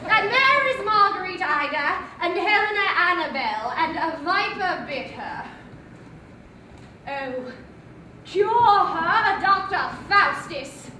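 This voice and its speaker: A woman's voice: very high-pitched, lively intonation, slow pace, pushed and bright, some chatter behind, slight background noise, full character voice. A woman playing a country woman who speaks in a coaxing, lilting way, heard from far off in an echoey hall.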